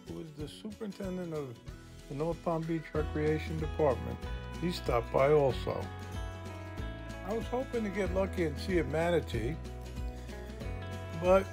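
Casting: middle-aged male vlog host, upbeat, high-set voice, music underneath. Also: expressive, a little slow, pauses often. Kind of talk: upbeat vlog narration and chat.

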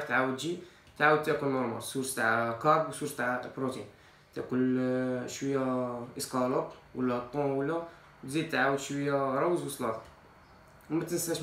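Young algerian guy doing a fitness vlog, talks super fast, kinda high voice, echoey kitchen. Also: fairly bright tone. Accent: Algerian Arabic. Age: 20s. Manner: informal, mixes in French loanwords. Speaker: fitness vlogger. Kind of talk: casual advice to camera. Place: small tiled kitchen, indoors. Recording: echoey tiled room.

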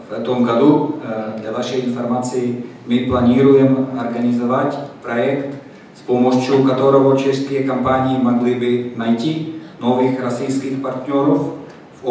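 A man giving a formal welcome speech at a podium, steady and full of hesitant fillers. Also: medium pitch, measured pace, a little hiss or hum. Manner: steady, flat delivery with many fillers. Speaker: embassy representative. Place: large conference hall. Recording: echoey hall from far off.

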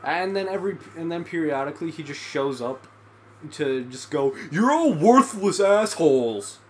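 A man's voice: fairly high pitch, expressive, moderate pace, a little mellow, slight background noise. A young man co-hosting a game let's play, sarcastic as he explains. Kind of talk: casual gaming chat.

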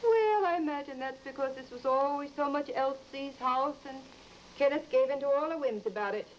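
A woman's voice: high-pitched, normal speed, natural voice. A woman playing an outspoken, disapproving older mother-in-law in an old radio drama. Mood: disapproving, emphatic. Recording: muffled, hissy vintage recording.

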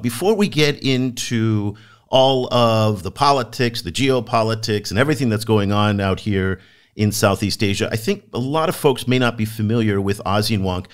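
A man hosting a podcast on world affairs, introducing a guest with enthusiasm and asking interview questions. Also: lowish voice. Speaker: podcast host.

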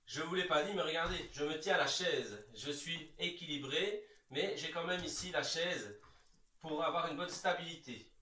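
Echoey, bright-voiced man coaching exercise. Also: fairly high pitch, moderate pace, slight background noise. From Belgium. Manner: calm, reassuring, step-by-step guidance. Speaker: adapted exercise instructor. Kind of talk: guided exercise instruction. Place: large bare indoor room. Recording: echoey room, distant microphone.

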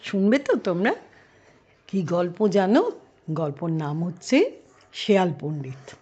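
Older female children's storyteller, grandmotherly, very unhurried, medium pitch, slightly dull recording. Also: very animated, warm tone, slightly rough. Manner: grandmotherly, explains words, questions listeners.

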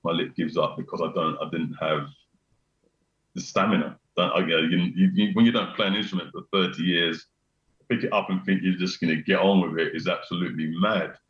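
A middle-aged man with a very low, deep bass voice talks casually and eagerly, often repeating his phrases. The sound comes through a video call and is roomy and slightly dull. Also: steady tone, brisk, fairly bright tone. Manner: repeats himself, laughs often, enthusiastic. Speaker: venue owner, guest. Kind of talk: casual chat. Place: small room indoors. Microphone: video call webcam.

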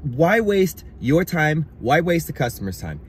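A man's voice: on the high side, sing-song, unhurried, a little hiss or hum. A man in his thirties, a handyman, giving emphatic, exasperated advice to contractors from his car, recorded on a phone. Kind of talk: casual advice vlog. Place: parked car. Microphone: phone on dashboard.

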